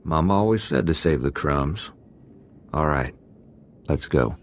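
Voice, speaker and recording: Very deep-voiced, easygoing prison thug. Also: male voice, animated, slow, a little hiss or hum, exaggerated voice. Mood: casually menacing. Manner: clipped casual phrasing, deferential to the boss. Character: rough, easygoing male prison gang thug, cheerfully menacing.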